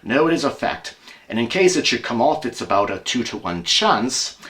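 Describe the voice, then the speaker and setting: Man playing a brisk, wry, animated detective. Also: medium pitch. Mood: grave, wry. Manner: emphatic, wry, theatrical. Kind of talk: amateur audio drama acting.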